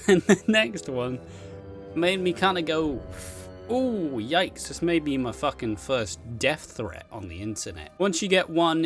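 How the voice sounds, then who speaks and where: A man's voice: medium pitch, fairly bright tone. A man hosting a casual, rambling solo show, swearing freely and joking at his own expense with a giggly manner. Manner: sweary, self-deprecating, giggly. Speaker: host, streamer and content creator. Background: background music.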